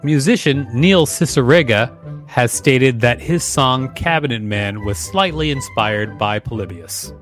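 Man narrating an article over clear music. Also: medium pitch, expressive, unhurried. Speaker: podcast co-host reading articles aloud.

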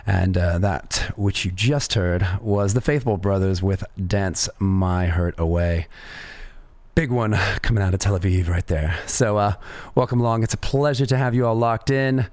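Man, radio DJ, raspy voice, dry close sound, casual filler-heavy talk. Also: lowish voice, normal speed, a little mellow, no echo. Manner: easygoing, lots of uh and um fillers. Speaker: radio DJ host. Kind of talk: casual live radio presenting.

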